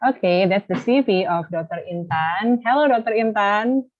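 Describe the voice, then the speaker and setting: A woman's voice: mid-range voice, normal speed. Woman, webinar moderator, polite and formal, brief replies, thin phone-like audio. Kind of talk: hosting an online lecture. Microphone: video call. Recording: tinny, phone-like video call line.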